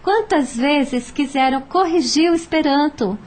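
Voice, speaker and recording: A woman with a Brazilian accent in a casual, reflective radio discussion, speaking hesitantly and pausing to find her words. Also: sits high, darkish tone. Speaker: panel participant on a radio programme.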